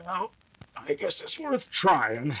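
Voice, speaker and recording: A man's voice: high-pitched, huge pitch swings, slow pace, a bit bright, breathy edge, exaggerated voice, rough recording. A man playing a dim, uneasy outlaw sidekick with folksy, ungrammatical Western talk in an old-time radio drama. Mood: doubtful.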